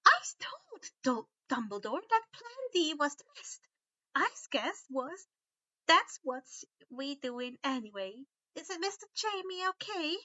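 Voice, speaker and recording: Woman putting on a high, excitable male house elf. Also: a little slow, no echo, muffled recording, full character voice. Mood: eager. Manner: broken servant grammar, emphatic.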